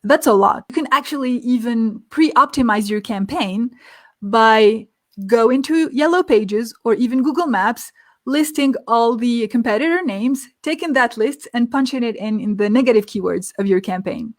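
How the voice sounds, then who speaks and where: A woman guest expert explaining marketing tips in an energetic, emphatic way, step by step. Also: a little high, normal speed. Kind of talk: tips presentation in an interview.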